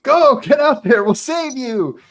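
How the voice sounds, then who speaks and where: A man voicing excited, pleading boys of about eleven at a summer camp. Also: very high voice, a little slow, pitched above the performer's own voice. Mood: eager pleading. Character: excited eleven-year-old boy campers pleading with a girl.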